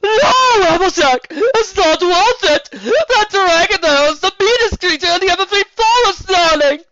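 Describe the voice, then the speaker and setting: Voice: very high voice, lively intonation, unhurried, lo-fi sound, background noise, pitched above the performer's own voice. A reader voicing a prim, dramatic female unicorn pony in an audiobook reading, with a theatrical, melodramatic manner.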